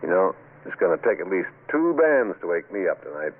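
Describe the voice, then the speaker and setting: A man's voice: deep voice, sing-song, brisk. An actor playing a breezy, wisecracking husband and father with folksy banter in a vintage radio drama. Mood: amused, dismissive. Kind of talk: 1940s radio drama acting. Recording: muffled, noisy vintage radio recording.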